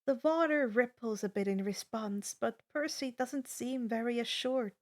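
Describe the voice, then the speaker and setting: A woman's voice: on the high side, a little slow. A woman reading a story aloud as a fan fiction narrator.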